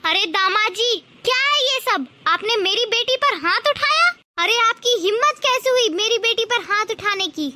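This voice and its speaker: A woman voicing indignant women of a family, mother and daughter, in an animated moral story, reproachful and dramatic. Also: very high-pitched, moderate pace, few pauses, noisy background. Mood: outraged. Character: protective, indignant mother-in-law and her young married daughter.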